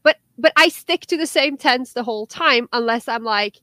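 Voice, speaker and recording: A woman's voice: fairly high pitch, normal speed, bright, forceful tone, dry recording. A young woman from Norway, a podcast co-host chatting casually and animatedly, with many restarts and fillers. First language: Norwegian. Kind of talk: casual chat.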